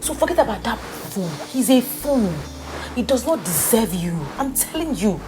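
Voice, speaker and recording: A woman's voice: a little high, very animated, normal speed, over music. A woman with a Nigerian accent, acting a loyal best friend who insistently talks her heartbroken friend up. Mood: comforting. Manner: emphatic, insistent, coaxing pep talk. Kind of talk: skit acting.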